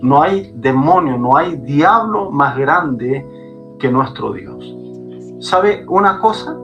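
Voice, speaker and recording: A man's voice: on the high side, lively intonation, slow pace, a bit bright, highs cut off, faint music behind. A man, a pastor, speaking fervently as a guest on a podcast and repeating phrases for emphasis. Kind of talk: preaching guest in a podcast conversation. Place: Chile.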